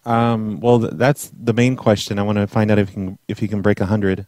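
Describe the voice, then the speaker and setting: A man making a prank phone call as an earnest caller, talking in a hesitant, rambling, deadpan way. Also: lowish voice, fairly quick, no room sound. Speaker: prank caller and podcast host.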